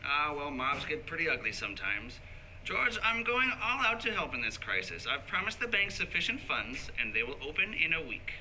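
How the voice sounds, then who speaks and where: Man playing a sharp-voiced scheming old banker. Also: fairly high pitch, very animated, moderate pace, heavily acted, low-quality recording. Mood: falsely concerned. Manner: falsely solicitous. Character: smooth, scheming rich old banker, the villain. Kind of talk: 1940s radio play acting. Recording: live stage recording with background noise.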